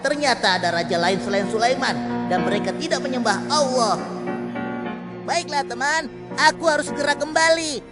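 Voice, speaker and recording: A woman's voice: sits high, animated, moderate pace. A woman voicing a small, devout and curious messenger bird, earnest and deferential, in a children's cartoon. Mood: shocked, earnest. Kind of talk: children's animated religious story acting. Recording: loud music behind the voice.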